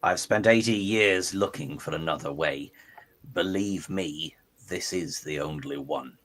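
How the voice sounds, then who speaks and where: A man's voice: rumbling low, a little slow, fairly bright tone. A man playing a smug, coldly obsessed mad-scientist villain in an amateur radio play, theatrical and taunting. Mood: coldly menacing.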